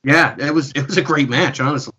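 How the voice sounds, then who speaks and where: A man's voice: a little high, fast talker, pushed and bright, muffled recording. Easygoing man in his thirties on webcam. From Connecticut. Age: 30s. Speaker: podcast co-host. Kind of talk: casual video call chat. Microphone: video call webcam.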